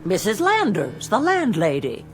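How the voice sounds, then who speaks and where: An actress playing a brisk, fussy, proper boarding-house landlady of an earlier century in a radio drama, formal and inquisitive. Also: mid-range voice, huge pitch swings, faint music behind. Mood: impatient, wary.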